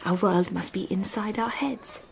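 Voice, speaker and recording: An actress playing an earnest, gentle refugee woman teacher in a radio drama, speaking in a solemn, hushed, instructive way. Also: mid-range voice, unhurried, gravelly, slight background noise. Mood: solemn, intent.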